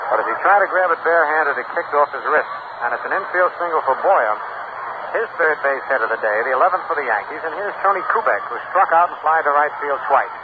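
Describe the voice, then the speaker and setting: A man's voice: on the high side, lively intonation, fast, phone-quality sound. An American man calling baseball play-by-play live in terse, clipped phrases, on a scratchy 1960s AM radio broadcast. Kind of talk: live radio sports commentary.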